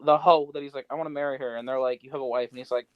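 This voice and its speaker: A man's voice: medium pitch, fairly even intonation, rapid-fire, muffled recording. A man co-hosting a podcast, bantering casually with a playful, sweary, irreverent manner. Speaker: podcast co-host.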